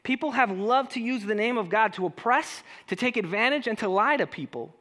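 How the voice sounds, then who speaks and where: High-voiced, bright man preaching a sermon. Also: a little fast. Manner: animated preaching with rhetorical questions to the congregation. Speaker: pastor.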